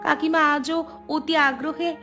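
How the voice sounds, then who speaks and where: A woman's voice: sits high, fairly even intonation, a little slow. A woman voicing a concerned village wife in a cartoon drama, questioning and insistent. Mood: concerned, probing. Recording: music clearly behind the voice.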